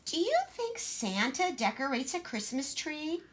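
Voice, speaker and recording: A woman voicing a quiet, timid, thoughtful little girl in a children's storybook, speaking in an earnest, hesitant way. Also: high-pitched, big pitch swings, unhurried, voice pushed up. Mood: curious.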